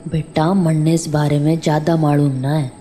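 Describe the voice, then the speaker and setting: Woman acting wary older female caretaker, deep for a woman, rural Haryanvi accent, guarded, faint background noise, horror drama. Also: little pitch movement, moderate pace, mellow, lightly acted. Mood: guarded, uneasy. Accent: Haryanvi rural Hindi. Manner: guarded, plain-spoken.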